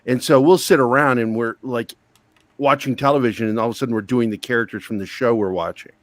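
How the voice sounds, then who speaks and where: Deep-voiced man, casual and profane. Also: fast talker, no room sound. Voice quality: deep, low voice. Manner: relaxed storyteller, swears freely. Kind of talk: casual chat.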